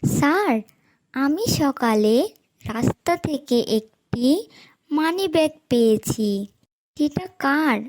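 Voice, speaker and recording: A very high, slow-paced little girl played by a woman speaks in a children's moral cartoon. She is gentle, devout and honest, placing each phrase with polite, earnest care. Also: expressive. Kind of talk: Islamic moral cartoon voice acting.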